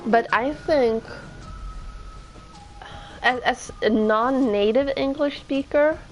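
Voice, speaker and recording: A woman's voice: high voice, slow pace, low-quality recording. Woman streamer, Swedish native speaker, thinking aloud, faint music underneath. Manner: chatty, thinking aloud, self-correcting. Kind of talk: casual let's-play commentary.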